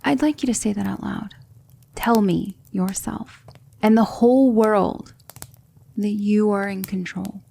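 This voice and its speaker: Female demon tutor played by an actress: soft ASMR delivery, mellow with a creaky edge, patient, gently commanding. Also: mid-range voice. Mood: calm, reassuring. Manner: measured, instructive, reassuring, with hushed breathy dips. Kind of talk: soft-spoken ASMR roleplay.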